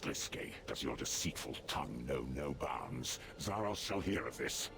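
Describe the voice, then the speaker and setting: A man voicing a grave, loyal demonic warrior in a video game, stern and formal, with a gravelly voice. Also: very deep voice, very animated, unhurried, heavily acted. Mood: indignant. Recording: music behind.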